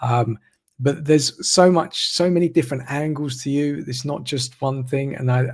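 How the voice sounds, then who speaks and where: A man's voice: medium pitch, fairly even intonation, moderate pace, darkish tone. A man hosting a live online interview, rambling and repeating words as he talks. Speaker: host.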